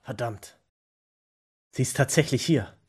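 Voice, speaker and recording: Man acting brooding male vampire enforcer, agitated, terse and halting, mid-pitched, audio drama. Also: husky. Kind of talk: hobby audio drama dialogue.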